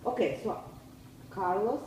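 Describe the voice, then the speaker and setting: A woman's voice: medium pitch, a little slow, a little mellow, echoey room, recorded from a distance, a little hiss or hum, rough recording. A woman from the former Soviet Union, talking in a coaxing, reassuring way.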